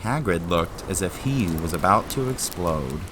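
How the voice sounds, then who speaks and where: A man's voice: low voice, lively intonation, unhurried, background noise. A man giving dramatic scripted narration for an audiobook-style audio drama.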